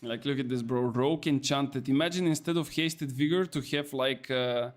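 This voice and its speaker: A young man streaming a game, excitably commenting, repeating words and calling people bro. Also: medium pitch, moderate pace, soft background music. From Bulgaria. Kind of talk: casual gameplay commentary.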